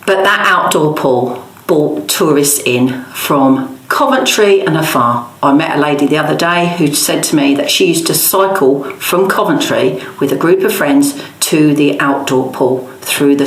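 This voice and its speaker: A woman's voice: low-pitched, a little slow, echoey room, poor audio. A middle-aged woman giving conversational interview answers, emphatic and opinionated, listing her points in long runs. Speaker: local campaigner and interviewee.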